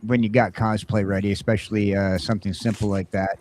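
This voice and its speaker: Lower-voiced man rambling on a podcast. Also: level tone, fairly quick, darkish tone. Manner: rambling, full of uh fillers. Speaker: podcast co-host. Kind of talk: casual morning show chat.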